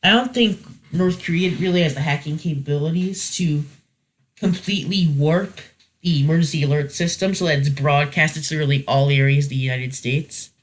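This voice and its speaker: A man's voice: fairly high pitch, normal speed. A man hosting a casual, unscripted review, talking in a hesitant, rambling way and often correcting himself. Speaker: reviewer hosting a weekly video series.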